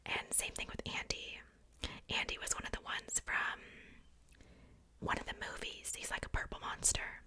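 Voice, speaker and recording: A woman whispering softly in a casual, chatty ASMR style, rambling along with conversational asides. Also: moderate pace. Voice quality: soft unvoiced whisper. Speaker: ASMR video host showing a doll collection.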